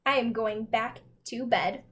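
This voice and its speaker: A woman puts on a sleepy, grumbling male toad for a children's storybook. The voice is high for the part, with reluctant, drawn-out words and a grumpy temper. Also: steady tone, slight background noise, natural voice. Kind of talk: children's storybook read-aloud.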